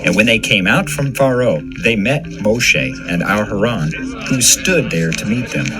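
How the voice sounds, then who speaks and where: A man's voice: lowish voice, lively intonation, measured pace. A man narrating a dramatized scripture reading from a script. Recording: music under the voice.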